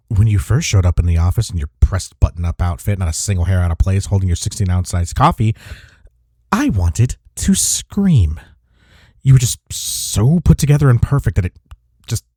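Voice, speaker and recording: A man playing a smitten office rival in an intimate romantic audio roleplay, with a husky, mellow voice and a halting, teasing manner. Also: low voice, no room sound, clean studio sound. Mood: exasperated confession. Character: flustered, smitten male office rival confessing attraction.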